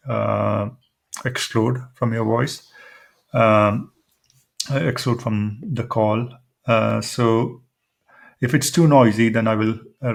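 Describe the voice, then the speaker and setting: A man presenting an informal tech tutorial, explaining things step by step in a halting, hesitant way. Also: lowish voice, vocal fry. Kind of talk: informal screen-demo tutorial.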